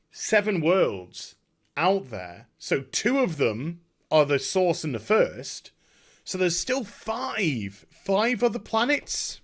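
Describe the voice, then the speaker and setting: A man chatting off the cuff about a video game as a streamer, effusive and overwhelmed, often halting, repeating himself and trailing off. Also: high voice. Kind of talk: unscripted rambling game review chat.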